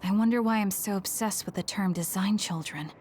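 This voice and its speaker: A woman's voice: mid-range voice, brisk, a little mellow, faint music behind, natural voice. A woman playing a forthright, decisive young squad leader in an English video game dub, direct and matter-of-fact. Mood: brisk, decisive.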